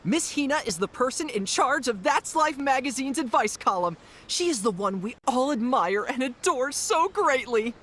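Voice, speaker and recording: A woman's voice: high-pitched, expressive, normal speed, fairly bright tone. A woman playing a devoted fan club leader in a video game, addressing people in a solemn, rousing, speech-like way. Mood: adoring.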